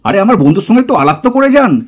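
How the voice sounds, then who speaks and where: A man's voice: high voice, big pitch swings, rapid-fire. A man playing an eager, easily bossed young boyfriend in a puppet cartoon, coaxing and apologetic with some English mixed in. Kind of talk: puppet cartoon drama acting.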